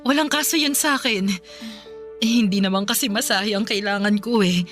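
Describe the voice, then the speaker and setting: A Filipino actress playing a shy, wounded married woman in a radio drama, timid and halting as she talks. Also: medium pitch, a bit bright, faint music behind, lightly acted. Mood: upset, pleading.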